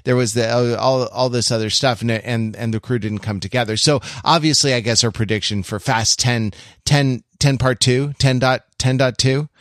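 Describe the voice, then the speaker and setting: Halting man in dry-sounding podcast chat. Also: mid-range voice, steady tone, dry recording. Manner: halting, repeats phrases as he thinks. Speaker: podcast panelist. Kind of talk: casual film discussion.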